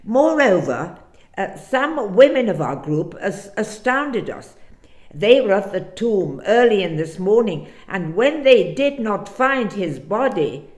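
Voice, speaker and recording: Woman reading as an earnest, distressed disciple, animated. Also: medium pitch, takes it slowly, reverberant. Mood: urgent, grieving. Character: distressed, earnest disciple recounting dashed hopes and astonishment. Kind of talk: dramatic scripture reading.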